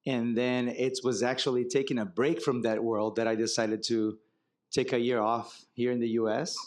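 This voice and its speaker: Brazilian-accented man, relaxed and wry. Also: medium pitch, normal speed. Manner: relaxed, wry, explains with examples. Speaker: marketing executive guest. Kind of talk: onstage fireside chat. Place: conference stage before an audience.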